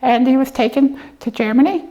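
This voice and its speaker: A woman's voice: high-pitched, brisk, dark tone, dull audio. A woman presenting a puppet show in casual comic chat, explaining things in a rambling, hesitant way.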